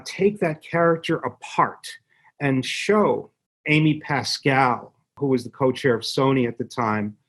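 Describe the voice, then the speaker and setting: A man with a mid-pitched voice explains things as an expert guest in an interview. His delivery is conversational but halting, with repeated words and firm emphasis on key phrases. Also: a bit bright. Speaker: interview guest, media company executive.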